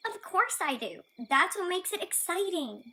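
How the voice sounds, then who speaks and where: A woman's voice: very high voice, sing-song, normal speed, pushed and bright, heavily acted. A woman playing a fearless, sassy young female kitten in a radio play, blunt and flippant. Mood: eager.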